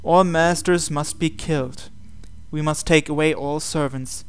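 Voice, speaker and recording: A man's voice: a little high, steady tone, measured pace, a little hiss or hum. A man hosting a game video, reading visual novel text aloud and adding his own asides. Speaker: gaming video host.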